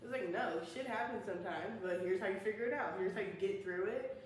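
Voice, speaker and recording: A woman's voice: medium pitch, brisk. A woman in her early twenties, co-hosting a podcast in casual chat, laughing and telling stories. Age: 20s. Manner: laughing, animated storytelling. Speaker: podcast co-host. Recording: echoey room, mic at a distance, faint noise.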